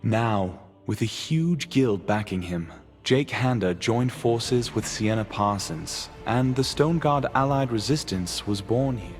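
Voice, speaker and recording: A man giving measured, formal scripted narration for a fantasy video game story. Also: fairly low pitch, lively intonation, soft background music.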